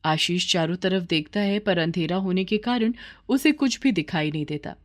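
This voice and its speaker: Slightly low-voiced woman storyteller. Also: normal speed. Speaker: narrator. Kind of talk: scripted children's story narration.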